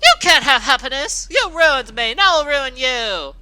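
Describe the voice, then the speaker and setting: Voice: medium pitch, sing-song, moderate pace, bright, forceful tone, pitched above the performer's own voice. A storyteller playing a cruel, furious grown pegasus mare who laughs maniacally and screams with rage. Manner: manic laughter and enraged screaming. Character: villainous adult female pegasus, cruel and furious.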